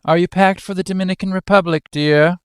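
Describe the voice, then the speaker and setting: A man's voice: high voice, fairly even intonation, fairly quick, clean tone, dry recording, clean studio sound, pitched above the performer's own voice, close to an everyday voice. A man playing a prim, fussing upper-class mother with formal, proper phrasing.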